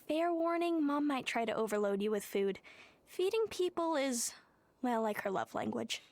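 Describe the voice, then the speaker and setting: Affectionate villager, slightly high voice, wry, easygoing, cozy game dialogue, faint background noise. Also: female voice, animated, moderate pace, a little mellow. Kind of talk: cozy video game voice acting.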